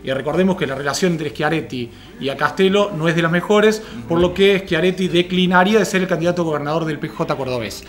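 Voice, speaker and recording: A man's voice: fairly high pitch, quick pace, few pauses. A man in his thirties with an Argentine accent, reporting political news conversationally as a panel commentator. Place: small TV studio at a panel table.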